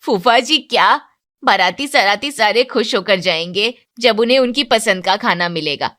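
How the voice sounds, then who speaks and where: A woman's voice: mid-range voice, animated, moderate pace, fairly bright tone, natural voice. A woman voicing a bossy but devoted middle-aged mother of the bride in a cartoon moral story, emphatic and no-nonsense. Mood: confident.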